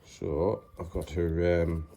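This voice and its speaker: Very low-voiced man giving a tutorial. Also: little pitch movement, slow, vocal fry, warm tone. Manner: matter-of-fact, hesitant, talking while working with his hands. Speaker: presenter of a DIY installation tutorial. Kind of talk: casual unscripted how-to demonstration.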